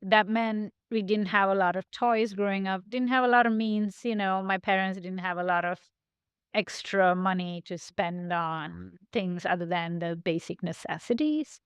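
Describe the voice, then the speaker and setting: Guest interviewee, woman from Sri Lanka, speaking reflectively in a smooth, dry-sounding voice. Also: mid-range voice, steady tone, normal speed, no room sound. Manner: thoughtful, reflective, with frequent "like" fillers. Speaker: guest, studio founder. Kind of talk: casual interview talk. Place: her design studio.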